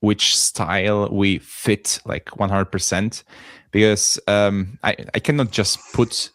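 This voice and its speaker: Hesitant deep-voiced young man from Poland. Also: fairly even intonation, slow, dry recording. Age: 20s. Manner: hesitant, searching for words, soft laughs. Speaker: band member guest. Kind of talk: casual interview chat.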